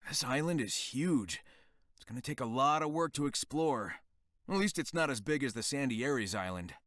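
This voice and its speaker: An actor playing a cocky young hero in a video game, casual, teasing and sarcastic. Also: male voice, medium pitch, lively intonation, normal speed, fairly bright tone, no room sound, studio quality, natural voice. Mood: wry. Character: cocky, wry young male hero, laid-back and teasing. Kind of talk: video game cutscene acting.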